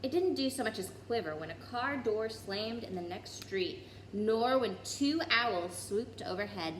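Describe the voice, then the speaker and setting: A woman reading a children's story aloud in an expressive way, with occasional small stumbles over the text. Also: on the high side, a little slow. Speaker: story reader. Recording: roomy echo, clear background noise.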